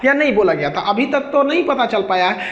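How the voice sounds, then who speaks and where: Male educator, Bihari accent, high register, informal storytelling delivery of a lesson; echoey, lo-fi room recording. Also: fairly quick. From India. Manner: colloquial, animated storytelling with everyday examples and acted-out dialogue. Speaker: teacher, online educator. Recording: echoey room, dull lo-fi sound.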